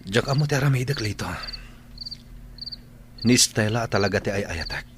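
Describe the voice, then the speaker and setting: A man's voice: lowish voice, normal speed, close to an everyday voice. An actor playing a troubled young man torn between two loves, earnest and burdened, in a radio drama. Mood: troubled, defensive. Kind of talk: Ilocano radio drama acting. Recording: noisy track with clicks and insects.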